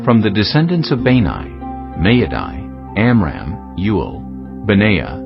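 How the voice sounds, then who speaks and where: Low-pitched man, solemn scripture narration, expressive intonation, music behind, slightly dull recording. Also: slow. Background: clear background music.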